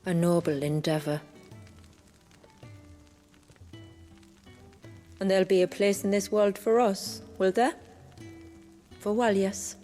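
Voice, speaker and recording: An actress playing a calm, proud, protective warrior guardian in a fantasy game, speaking formally and deliberately. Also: female voice, mid-range voice, soft background music, natural voice. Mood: hopeful. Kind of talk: cinematic fantasy game acting.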